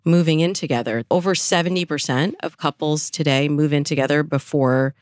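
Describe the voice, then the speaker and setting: A woman's voice: sits low, a little fast, a little mellow, no echo, clean studio sound. A woman speaking as a dating coach and interview guest, explaining things conversationally and with emphasis. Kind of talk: conversational expert advice.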